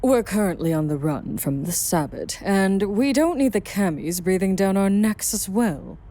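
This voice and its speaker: Mellow, expressive female vampire played by an actress. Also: mid-range voice, moderate pace, natural voice. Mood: brisk, urgent. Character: self-exiled female vampire sire, worldly, guarded, brooding. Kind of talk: audio drama acting.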